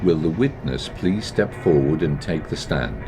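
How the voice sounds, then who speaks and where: A man playing a formal courtroom judge who runs the proceedings in a measured, procedural way. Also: a bass voice, animated, exaggerated voice. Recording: music playing underneath.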